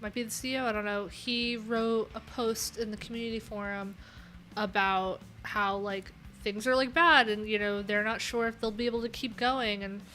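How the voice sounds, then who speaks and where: A woman's voice: on the high side, steady tone, normal speed, sharp tone, slight background noise. A woman hosting a casual coding livestream, talking candidly in a rambling way with lots of filler words. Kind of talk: casual live-stream chat.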